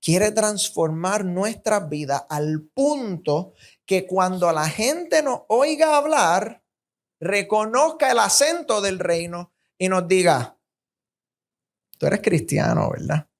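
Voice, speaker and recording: Slow-preaching Puerto Rican man. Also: expressive. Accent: Puerto Rican Spanish. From Puerto Rico. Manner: emphatic, rhythmic repetition, rising pitch on key points. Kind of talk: sermon.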